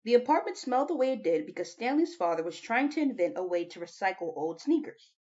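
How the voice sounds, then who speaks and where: Woman, audiobook narrator, lively varied intonation, storytelling delivery, dull home recording. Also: medium pitch, fast. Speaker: audiobook reader. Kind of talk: narrated children's novel reading.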